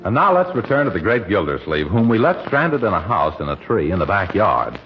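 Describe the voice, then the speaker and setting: A man's voice: low-pitched, very animated, brisk, slightly rough, faint music behind. A man reading a scripted 1940s radio commercial and story narration as a polished, emphatic announcer. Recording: dull old radio recording, faint noise.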